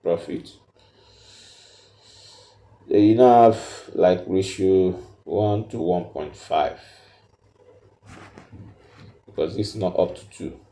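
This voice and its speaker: A man giving an unscripted step-by-step tutorial in a halting, repetitive, instructional way, recorded in an echoey room. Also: low voice, dark tone. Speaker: trading tutorial presenter.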